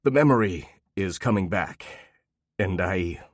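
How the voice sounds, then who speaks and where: A man voicing an uneasy adult man who confesses in halting, stumbling phrases. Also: low-pitched, animated, dry recording, studio quality.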